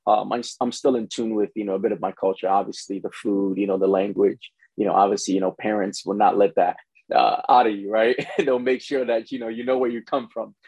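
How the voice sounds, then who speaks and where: A man's voice: on the low side, level tone, fairly quick, a little mellow, breathy edge, no echo, rough recording. A man from Ghana who grew up in the United States, speaking as a pastor and guest in a conversational interview, often saying "you know". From born in Ghana, grew up in the United States from preteens.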